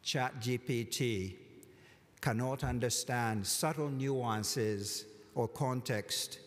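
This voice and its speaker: A man giving a formal commencement address from a lectern, measured and deliberate with touches of dry humor. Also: medium pitch. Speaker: college commencement speaker. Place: large hall at a lectern, indoors. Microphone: lectern microphone. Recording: long hall reverb.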